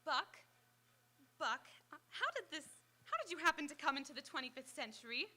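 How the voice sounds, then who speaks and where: A woman acting as an admiring young assistant from a vintage radio serial. Her voice is high and very sharp and bright. She sounds earnest and full of curiosity. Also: lively intonation, fairly quick, full character voice. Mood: inquisitive. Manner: earnest, inquisitive. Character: eager, admiring young woman, scientist's companion. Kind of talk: old-time radio drama acting. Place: live on an auditorium stage.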